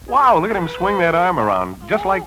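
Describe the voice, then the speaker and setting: An American actor playing a gruff, reluctant but soft-hearted husband in a 1940s radio drama. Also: male voice, high voice, wildly swooping pitch, brisk, low-quality recording. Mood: softening wonder. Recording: old radio recording, faint noise.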